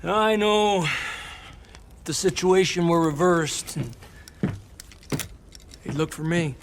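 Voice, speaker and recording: A man's voice: a little high, takes it slowly, background noise. An actor playing an earnest, loyal young outlaw gunman in a western game. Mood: reluctant, loyal. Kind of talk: cinematic western game acting. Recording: loud music behind.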